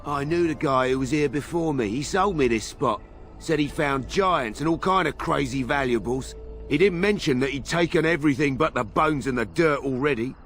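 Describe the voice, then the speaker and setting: A man's voice: a little high, moderate pace, a bit bright. Shifty, grumbling male scavenger. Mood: nervous, grumbling. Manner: rambling, hesitant, colloquial griping. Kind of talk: video game character dialogue.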